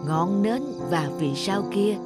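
A woman voicing a grave, kindly elderly father in a cartoon, giving measured counsel. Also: medium pitch, over loud music, lightly acted. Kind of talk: scripted cartoon dialogue.

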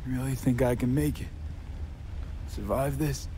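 A man's voice: medium pitch, moderate pace, mellow, slight background noise, natural voice. An actor playing a weary, bitter young mercenary in a video game, blunt and downcast in his speech. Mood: despairing. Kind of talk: naturalistic video game acting.

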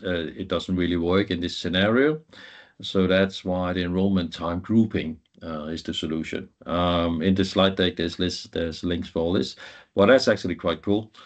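Low-voiced man, halting technical presenter. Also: steady tone. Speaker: guest presenter, IT professional. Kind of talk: informal technical presentation over slides.

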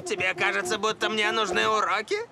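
A cocky showy cartoon soccer star, highish voice w some fry, music behind. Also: male voice, flat delivery, a little fast, noisy background. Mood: carefree cocky. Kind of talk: animated cartoon dubbing.

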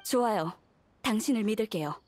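A woman's voice: medium pitch, lively intonation, a little fast, darkish tone, no echo, lightly acted. A woman playing a polite, cautious young female negotiator in game dialogue, earnest and composed.

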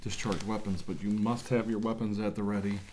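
Male, middle-aged, lowish voice: man acting as earnest scientist-commander briefing his squad in amateur sci-fi role-play, halting delivery, noisy track. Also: flat delivery, warm tone, natural voice. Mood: earnest, commanding.

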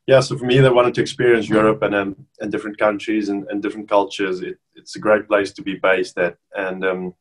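A South African man in his thirties, whose first language is Afrikaans, chatting casually over a video call as an interview guest with relaxed restarts. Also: on the low side, brisk, hardly any pauses. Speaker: rugby player guest. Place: bedroom at home, indoors.

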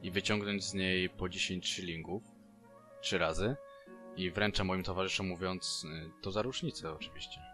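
A man with a deep voice talks through his moves as a player in a tabletop role-playing game. Music plays clearly behind him. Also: steady tone, moderate pace. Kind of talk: in-game conversation.

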